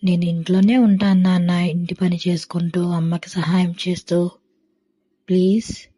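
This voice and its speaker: A woman's voice: fairly low pitch, flat delivery, moderate pace, dark tone, lightly acted. A woman playing a stubborn, lazy young schoolgirl in a children's story, with a whiny, pleading manner.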